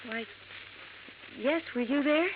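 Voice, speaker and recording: An actress playing a polite, dutiful young daughter in a 1950s radio drama. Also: female voice, high voice, takes it slowly. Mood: polite, uneasy. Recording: tinny, noisy old radio recording.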